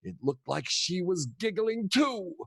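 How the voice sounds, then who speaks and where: An actor playing a kooky old grandfather who tells rambling, theatrical, halting stories to his grandchildren in a comedic audio play. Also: sits high, sing-song, creaky, pushed and bright, breathy edge, no room sound, clean studio sound, exaggerated voice. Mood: wistful. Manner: theatrical, wistful, halting storyteller.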